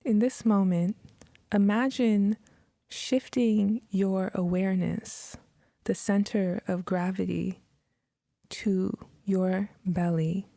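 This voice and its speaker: Slow, soothing-paced woman guiding meditation. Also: mid-range voice, steady tone, vocal fry, dark tone, no room sound, clean studio sound. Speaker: meditation guide. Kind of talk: guided meditation, scripted narration.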